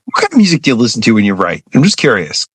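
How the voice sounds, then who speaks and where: A man co-hosting a casual interview, with a lively, swinging pitch in a mid-range voice. The recording is dry and close. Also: racing, slightly rough, no room sound. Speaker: co-host. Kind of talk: casual chat.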